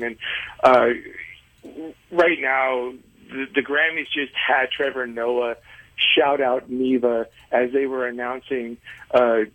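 A man with a husky, rough-edged voice comes through a narrow phone-like line. He speaks as a radio interview guest, earnestly explaining in halting phrases and often doubling back on his words. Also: mid-range voice. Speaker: interview guest, independent venue organizer.

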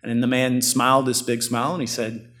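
A man's voice: mid-range voice, level tone, a little fast, bright, forceful tone. A man preaching a sermon as pastor to his congregation, with an earnest, rising and falling delivery.

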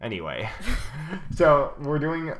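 A man's voice: on the high side, sing-song, takes it slowly, fairly bright tone, highs cut off. A young man co-hosting a casual podcast, talking with many fillers and restarts. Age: young adult. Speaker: podcast co-host. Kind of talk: casual chat.

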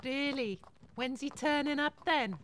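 Brash, very high-voiced cheeky housekeeper. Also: female voice, a little slow, a bit bright, a little hiss or hum. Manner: blunt, irreverent, teasing. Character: brash, crude, cheeky female Victorian housekeeper. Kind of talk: scripted audio comedy acting.

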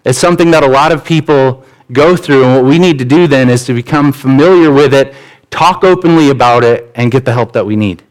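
A man's voice: mid-range voice, brisk, poor audio. Earnest conversational male pastor preaching. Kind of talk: sermon. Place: church.

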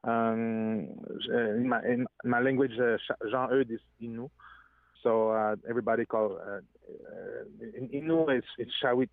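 A man's voice: medium pitch, fairly even intonation, unhurried, gravelly, dry recording. Hesitant man on a tinny phone line. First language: French. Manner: hesitant, searching for English words, many restarts. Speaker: guest singer. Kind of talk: interview over the phone. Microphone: telephone.

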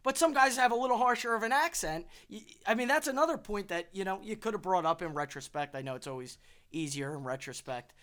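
A man's voice: high-pitched, expressive, fast talker, a bit bright. A man with a South Philadelphia accent hosting a casual interview, chiming in with agreement and follow-up questions. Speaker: interview host.

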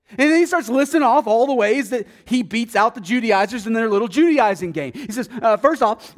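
Male pastor, high voice, casual sermon delivery with dramatic emphasis and asides. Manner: conversational, asks the congregation rhetorical questions; dramatic swings in loudness and pitch for emphasis.

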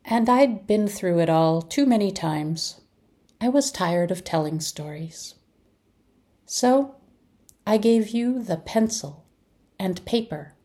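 A woman reading a poem aloud in measured, deliberate phrases with pauses. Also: medium pitch, expressive, warm tone. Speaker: community poetry reader. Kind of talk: poetry reading.

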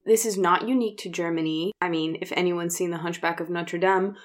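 A woman's voice: on the low side, steady tone, brisk. A woman co-hosting a discussion podcast, explaining and criticizing in an earnest, conversational way. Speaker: podcast co-host.

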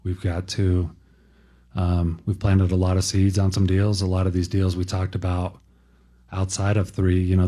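A sports executive, a man, giving a halting, guarded answer at a press conference. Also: deep voice, dark tone.

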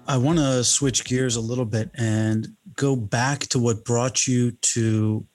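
A man hosting a podcast, reading an announcement and asking questions in a measured, lightly joking way. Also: mid-range voice, steady tone, darkish tone, soft background music. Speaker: podcast host.

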